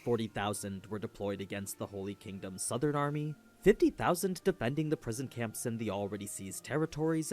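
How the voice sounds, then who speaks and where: A man narrates a scripted explainer with lively, varied intonation. His voice sits at a medium pitch, and faint music plays underneath. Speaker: lore video narrator. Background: background music.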